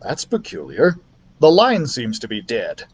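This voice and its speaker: A man's voice: mid-range voice, wildly swooping pitch, unhurried, slightly rough, exaggerated voice. A man voicing an exasperated, practical father in a children's storybook reading. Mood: matter-of-fact.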